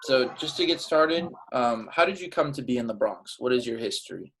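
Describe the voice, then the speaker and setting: Gravelly-voiced man interviewer. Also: medium pitch, animated, fairly quick, poor audio. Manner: rambling, many fillers and restarts. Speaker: interviewer, oral history project. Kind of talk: oral history interview.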